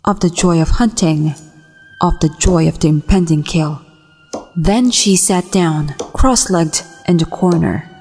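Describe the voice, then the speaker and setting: A woman giving a dramatic reading of a horror story in a measured, suspenseful manner. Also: on the low side, a little mellow, breathy edge. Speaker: voice actor. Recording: long reverb, music underneath. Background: music.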